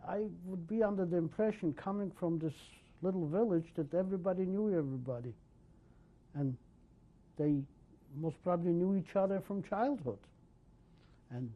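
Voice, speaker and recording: A man in his sixties, from Poland, recalling his early life in an oral history interview, speaking haltingly with many pauses and restarts. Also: sits high. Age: 60s. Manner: hesitant, many ums and restarts. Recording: dull lo-fi 1990s tape.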